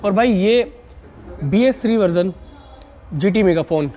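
A man's voice: high voice, expressive, unhurried, mellow. A man, chatting casually and informally to viewers as a vlogger, mixing in English words. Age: 20s. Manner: informal, addresses viewers as buddies. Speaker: motorcycle vlogger and presenter. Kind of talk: casual vlog chat with English words mixed in. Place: narrow residential street outdoors. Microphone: wired lavalier microphone.

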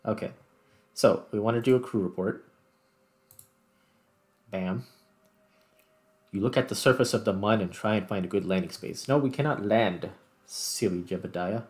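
Low-voiced man narrating his gameplay. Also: moderate pace, long pauses. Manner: thinks aloud while playing. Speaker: gaming video host. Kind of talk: casual gameplay commentary.

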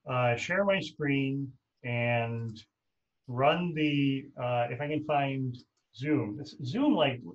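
A man's voice: medium pitch, slow, highs cut off. A middle-aged man hosting a casual video-call chat from a room at home, loose and rambling as he corrects himself mid-sentence. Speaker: host.

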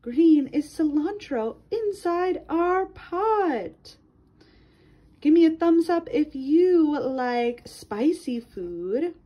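A woman's voice: high voice, lively intonation, extremely slow, dark tone. A woman reading a picture book aloud to children in a sing-song manner, addressing her young listeners directly.

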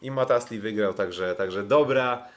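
A man recapping sports as a podcast host in a halting way, often repeating words. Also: fairly low pitch. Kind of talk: casual sports recap commentary.

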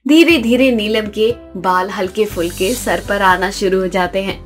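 Female story narrator over soft music. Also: mid-range voice, normal speed.

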